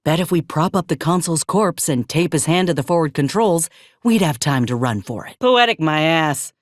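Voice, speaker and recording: An actress voicing a frank, loyal frontier soldier in a video game. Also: female voice, sits low, lively intonation, normal speed, no echo, studio quality. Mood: urgent, wry. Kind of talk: scripted video game character dialogue.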